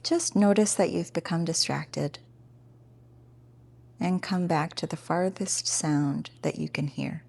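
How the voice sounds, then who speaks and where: A woman teaching a guided meditation in a gentle, informal, encouraging way. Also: low voice, slow pace, vocal fry, breathy edge.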